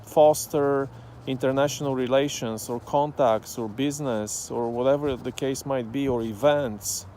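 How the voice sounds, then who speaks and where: Slow, mellow man from Bulgaria. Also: mid-range voice, fairly even intonation, a little hiss or hum. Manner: slow, thoughtful, many "um" pauses. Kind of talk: casual talk to camera.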